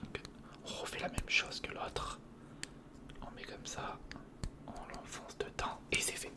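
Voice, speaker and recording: A man whispers in a breathy, unvoiced ASMR voice, close to a sensitive mic. He talks informally and reassuringly, guiding the listener step by step through a hands-on build. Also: a little slow. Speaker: ASMR video creator. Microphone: close sensitive recorder microphone.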